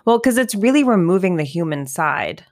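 A woman from New York City, talking earnestly as a guest in a casual conversation, with lots of fillers and restarts, her voice getting a little hoarse. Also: fairly low pitch, sing-song, normal speed, a little mellow, no echo, studio quality. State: losing her voice, coughing.

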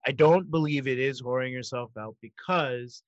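A man's voice: mid-range voice, moderate pace, no echo, dull audio. A man in his thirties in casual chat, rambling and correcting himself as he goes, laughing easily. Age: 30s.

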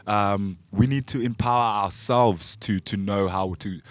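A man from Zambia hosting a casual radio chat, halting and often repeating words. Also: fairly low pitch, fairly even intonation, no echo. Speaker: radio show host. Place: radio studio.

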